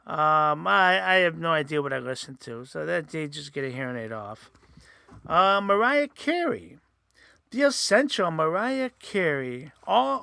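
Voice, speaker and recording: Guy rambling thru his reviews, lots of ums and restarts, kinda gravelly, close dry mic. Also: on the high side, bright, forceful tone, no room sound. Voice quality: says he sometimes sounds nasal. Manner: rambling, many fillers and restarts. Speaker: host. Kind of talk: casual solo review podcast.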